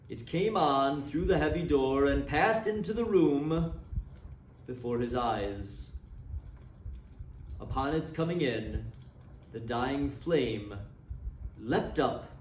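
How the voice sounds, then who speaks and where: A man reading a story aloud in a dramatic, expressive style with measured phrasing. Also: medium pitch, slight background noise. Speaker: library volunteer reader and host. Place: indoor room decorated for Christmas, seated. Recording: roomy echo, distant microphone.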